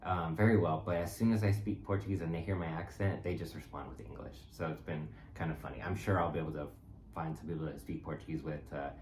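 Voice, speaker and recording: A man co-hosting a travel vlog, chatting casually in a relaxed, halting way with many fillers. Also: extremely low pitch. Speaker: vlog co-host. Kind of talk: casual chat. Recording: echoey room with faint noise.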